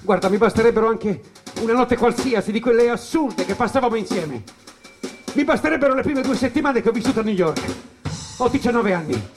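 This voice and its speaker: An actor playing an ailing jazz musician in a dramatic stage monologue, looking back on wild nights from a hospital bed. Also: male voice, very high-pitched. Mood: excited, nostalgic. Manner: dramatic, swinging between brooding and excited.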